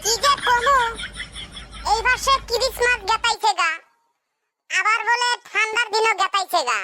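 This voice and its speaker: An extremely high, pitched-up cartoon voice plays a cheeky young village bachelor. He grumbles and teases in colloquial speech with a northern Bangladeshi accent. The recording is home-made, with clear noise and clicks behind him. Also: measured pace. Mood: eager, whiny. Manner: colloquial, teasing, complaining. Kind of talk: comic cartoon dialogue.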